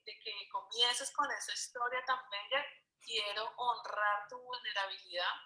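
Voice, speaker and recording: Woman, host, bright forward tone, mid pitch, earnest affectionate introduction, echoey room. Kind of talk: spoken introduction and tribute to a guest.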